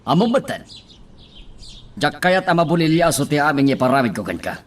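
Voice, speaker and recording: A man's voice: a little high, sing-song, fairly quick. A man with an Ilocano accent playing a scheming crime boss in a radio drama, giving orders to his henchmen. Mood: secretive, menacing. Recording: loud music under the voice.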